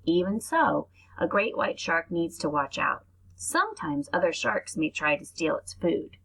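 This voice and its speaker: A woman's voice: lowish voice, sing-song, measured pace, creaky, a bit bright, breathy edge, low-quality recording. A woman reading aloud to young children like a teacher, explaining things and adding asides as she goes.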